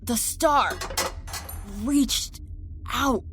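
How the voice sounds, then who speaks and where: A woman playing an injured enemy soldier in an audio drama, defiant yet rattled, speaking in a halting, bitter, incredulous way. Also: sits high, background noise. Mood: shaken, disbelieving.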